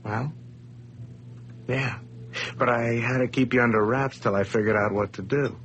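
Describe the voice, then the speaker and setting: A man's voice: mid-range voice, fairly even intonation, normal speed, fairly bright tone, a little hiss or hum, natural voice. An actor playing a nervous, scheming man who stammers and dodges as he confesses at gunpoint in a radio play. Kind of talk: 1980s radio drama acting.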